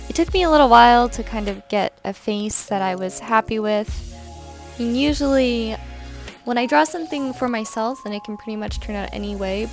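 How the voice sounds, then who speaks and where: Young woman, relaxed rambling voice-over, a touch high-pitched, over quiet background music. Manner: chatty, rambling, with light laughs and fillers. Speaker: art vlogger. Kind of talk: casual voice-over while drawing. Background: soft background music.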